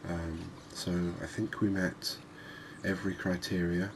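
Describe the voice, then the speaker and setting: A man with a low-pitched, flat voice talks through a game as its host. He is unhurried and conversational, musing in an easy ASMR commentary style. Clear background noise sits behind him. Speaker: gaming video host. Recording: clearly noisy background ambience.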